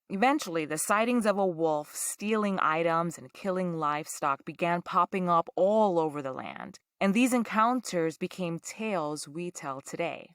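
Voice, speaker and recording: A woman's voice: on the low side, fairly bright tone, no echo, clean studio sound. A woman hosting a storytelling podcast, reading scripted narration in a true-crime style.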